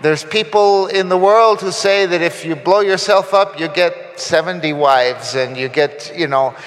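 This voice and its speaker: Guy from costa rica preaching, chatty with lots of ums, a bit high voice, long church echo. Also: measured pace, a bit bright. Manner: conversational, with frequent fillers and restarts. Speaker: guest preacher. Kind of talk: sermon. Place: church sanctuary.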